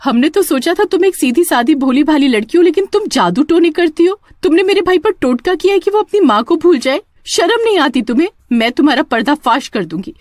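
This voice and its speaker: A furious elder sister-in-law, acted by a woman storyteller, indignant and accusing. She scolds in a high, swooping voice, close and dry, for a storybook drama. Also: sing-song, fairly quick, hardly any pauses, darkish tone, dry recording.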